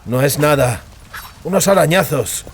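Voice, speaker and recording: A man's voice: fairly high pitch, lively intonation, a little slow, a little hiss or hum, pitched above the performer's own voice. A man voicing a wounded, stubborn male warrior in a dramatised audiobook, urgent, with a trembling edge. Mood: strained, urgent.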